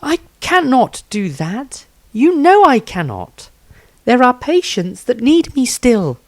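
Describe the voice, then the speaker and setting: A man's voice: extremely high pitch, wildly swooping pitch, slow pace, dry recording, voice pushed up, exaggerated voice. A man voicing a devout, caring young woman healer in a fantasy story reading, earnest and emphatic. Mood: insistent.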